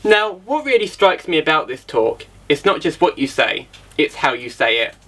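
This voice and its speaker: Male event host, crisp bright sound in a raised register, reading a prepared introduction. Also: on the high side, big pitch swings, normal speed. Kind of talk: scripted speaker introduction.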